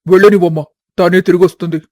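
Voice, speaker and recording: Peacemaking son played by a man, exasperated, high male voice, even intonation, mellow, family drama. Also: rapid-fire, no echo, clean studio sound. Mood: reassuring. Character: exasperated adult sons keeping family peace. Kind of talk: dramatized village family story.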